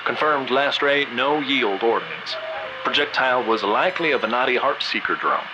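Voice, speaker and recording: An actor playing a calm, authoritative fleet commander in a sci-fi audio drama, giving brisk orders and pointed questions. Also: male voice, mid-range voice, background noise, natural voice. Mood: commanding, urgent.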